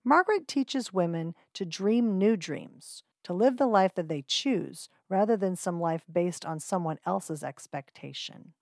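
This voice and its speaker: A woman's voice: lowish voice, lively intonation, measured pace, hardly any pauses, darkish tone, no room sound. Dry-sounding upbeat woman podcast host. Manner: upbeat, friendly, with casual fillers. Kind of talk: podcast narration with intros.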